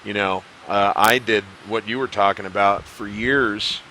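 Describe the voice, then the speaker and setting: Bright-voiced man hosting a music interview. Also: on the low side, steady tone, measured pace, a little hiss or hum, poor audio. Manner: enthusiastic, long winding questions. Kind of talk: casual conversational interview.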